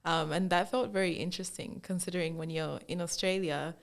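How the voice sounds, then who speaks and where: Young woman from zambia, guest on a chat show, lowish gravelly voice, animated, says like a lot. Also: fairly quick. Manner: animated storyteller, acts out quoted remarks, many "like"s. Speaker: fashion brand founder, guest. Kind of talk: casual interview chat.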